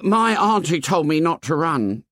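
A man's voice: a little high, big pitch swings, unhurried, a bit bright, no echo, studio quality, voice pushed up. A man voicing an anxious, talkative young schoolboy with colloquial, ungrammatical speech in an audiobook. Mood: breathy pride. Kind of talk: audiobook character dialogue.

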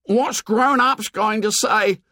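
A man's voice: high-pitched, takes it slowly, bright, forceful tone, dry recording, studio quality, pitched above the performer's own voice, full character voice. A man voicing an anxious, sensible schoolboy in an audiobook, pleading and insistent in colloquial grammar. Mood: urgent, pleading.

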